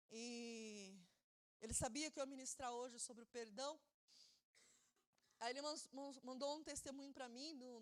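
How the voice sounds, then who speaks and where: High-voiced woman preaching with fervor. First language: not Portuguese. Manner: impassioned preaching, building to shouted emphasis; repeats words, stumbles and restarts while preaching. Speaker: pastor. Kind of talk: sermon.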